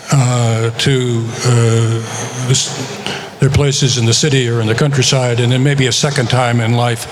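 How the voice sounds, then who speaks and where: A man from the United States speaks at a conference session while he is losing his voice. He talks in a measured way, with frequent pauses and filler words, at an ordinary pitch. A clear hum of room noise sits under him. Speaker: author. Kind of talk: conference talk.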